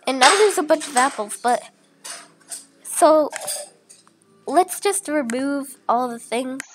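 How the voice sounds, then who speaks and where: Young sick-sounding woman, slow and chatty. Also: high voice, expressive, music in the background. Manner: chatty, rambling with fillers. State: sick, voice a bit off. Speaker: channel host. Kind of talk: casual screen-recording walkthrough.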